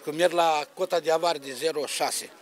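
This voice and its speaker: A man's voice: on the high side, fairly even intonation. A man in his fifties, a market trader airing complaints in a street interview on a handheld microphone. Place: market stall in open air. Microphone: handheld reporter microphone.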